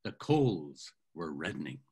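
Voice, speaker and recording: Older man narrating with expressive lilt. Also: rumbling low, measured pace, vocal fry, breathy edge. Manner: savoring, expressive storytelling. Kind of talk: dramatic literary reading. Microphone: video call webcam.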